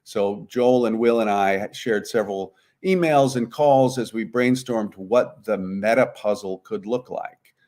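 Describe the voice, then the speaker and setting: A man's voice: fairly low pitch, a little slow, darkish tone. A man speaking as an interview guest, giving conversational, explanatory answers. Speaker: guest, puzzlemaker.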